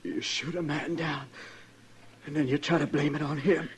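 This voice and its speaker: An actor playing a bitter, mortally wounded cowboy, gasping in pain in an old radio drama. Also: male voice, fairly high pitch, fairly even intonation, moderate pace, breathy edge. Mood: angry, pained. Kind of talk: old-time radio western acting. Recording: slightly noisy old radio recording.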